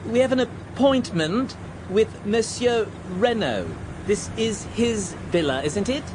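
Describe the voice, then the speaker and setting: Dreamy gent, really high voice, car noise behind, radio drama. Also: male voice, lively intonation, takes it slowly. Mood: assertive. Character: romantic, impressionable English gentleman companion. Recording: clear car and outdoor noise.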